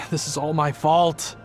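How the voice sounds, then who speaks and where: A troubled father with a guilty conscience, his male voice pitched a little high. He speaks earnestly and anxiously in video game style, over faint music. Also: steady tone, slow pace.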